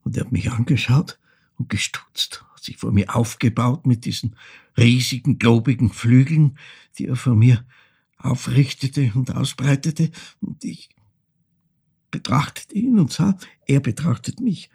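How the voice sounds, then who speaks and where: An actor with an Austrian accent playing a haunted older man who recalls his childhood in a halting, intense dramatic monologue, his voice husky and gravelly. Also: mid-range voice, a little mellow, heavily acted. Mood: agitated, awed. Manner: halting, intense, dropping into near-whispers. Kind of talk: dramatic first-person literary monologue.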